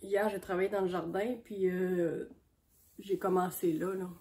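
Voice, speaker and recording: Québécois woman vlogger, has a cold, stuffy and unhurried, rambling show-and-tell. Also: lowish voice, flat delivery, darkish tone. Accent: Québécois French. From Quebec. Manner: chatty, meandering, homespun. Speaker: knitting vlogger on a sheep farm. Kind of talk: casual show-and-tell chat.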